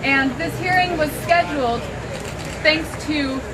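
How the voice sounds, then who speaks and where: A woman's voice: high voice, measured pace. A woman hosting an outdoor press conference as an organizer, raising her voice with no sound system and using some fillers. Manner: speaking up without amplification, friendly with fillers. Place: outdoors on a college campus, in a crowd. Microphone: recorder at a distance, no sound system. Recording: outdoor crowd and loud traffic noise.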